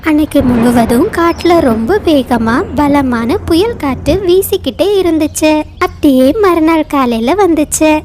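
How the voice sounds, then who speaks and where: Woman storyteller for kids, really high childlike pitch, scripted, faint background noise. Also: unhurried, darkish tone.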